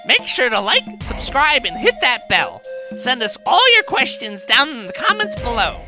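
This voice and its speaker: Woman playing young boy monkey, cartoon sidekick, high-pitched, extremely animated, cheeky, sassy, opinionated. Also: normal speed, music in the background. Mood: cheerful. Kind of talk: scripted comedic cartoon dialogue.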